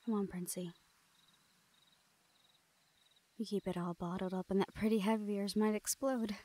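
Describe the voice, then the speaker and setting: A woman performs a young female thief of the shadow folk in a fantasy audio drama. Her medium-pitched voice is soft and tender, often easing into a breathy murmur. She sounds teasing and sure of herself, yet protective of the one she speaks to. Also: steady tone, fairly quick, close to an everyday voice. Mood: playful, confident. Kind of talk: intimate fantasy audio roleplay acting.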